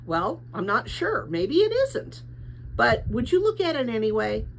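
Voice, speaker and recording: A woman's voice: fairly high pitch, wildly swooping pitch, measured pace, creaky, slight background noise, full character voice, low-quality recording. A woman voicing a curious, polite young girl in a storybook, eager and questioning, a little hesitant.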